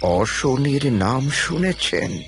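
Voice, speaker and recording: An actor playing a grave, proud, superstitious old shopkeeper in an audio drama, with a gravelly voice and a deliberate, ominous manner. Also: male voice, medium pitch, big pitch swings, soft background music, exaggerated voice. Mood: knowing. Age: older. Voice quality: gravelly, creaky.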